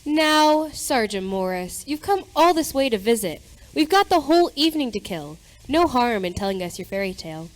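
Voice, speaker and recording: Woman playing a lively, proper mother. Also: a little high, normal speed, hardly any pauses, rough recording. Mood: coaxing. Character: proper, fretful mother and polite hostess. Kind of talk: amateur radio play acting.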